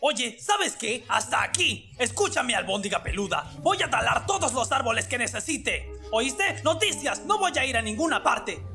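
Cartoon lanky young man, played by an actor: Latin American accent, cheeky and exasperated, very animated pitch, music underneath. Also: moderate pace, hardly any pauses. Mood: exasperated, defiant. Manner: excitable, sarcastic, exclaiming. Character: lanky young man, cheerful tree-chopper turning exasperated and defiant. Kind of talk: animated film fan dub acting.

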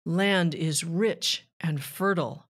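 A woman reading a rhyming children's book aloud with measured, deliberate phrasing. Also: fairly low pitch. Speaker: children's author and narrator.

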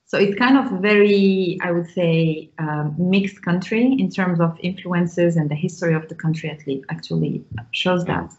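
Moroccan woman on a video call, lowish voice, muffled sound, lots of ums, hands moving while she talks. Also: moderate pace, few pauses, a little mellow. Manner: thoughtful, with many ums and restarts, gestures with her hands. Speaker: guest. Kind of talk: casual interview talk. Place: small room indoors. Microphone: video call with earphones.

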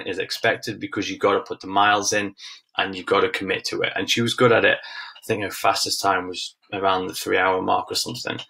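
Low, gravelly male fitness coach. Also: pushed and bright. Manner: direct, instructive, repeats key phrases for emphasis. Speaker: fitness coach giving an online presentation. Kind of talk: informal webinar lesson with slides.

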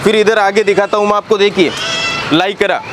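A young shopkeeper with a high, light voice rattles off fabrics, cuts and prices like a practiced salesman. He talks into a lapel mic on a warehouse floor. Heavy crowd chatter hums behind him. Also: steady tone. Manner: brisk salesman's patter, listing items and prices. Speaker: shop owner. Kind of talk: sales tour of a fabric shop. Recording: crowd noise in a busy warehouse.

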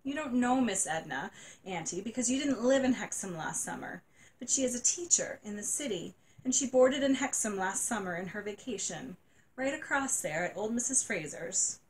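A woman's voice: fairly high pitch, lively intonation, normal speed, a little mellow, close to an everyday voice, rough recording. A woman voicing a tearful, gushing nine-year-old girl in a storybook reading. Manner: sobbing, breathless and gushing.

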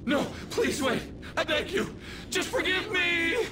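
An actor voicing a cruel, arrogant male shadow king in a video game who rants, shouts and begs, over game music. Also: extremely high pitch, expressive, slow pace, noisy background, heavily acted. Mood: begging. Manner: ranting and shouting, then begging and defeated. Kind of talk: video game cutscene voice acting. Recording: game audio with loud music.

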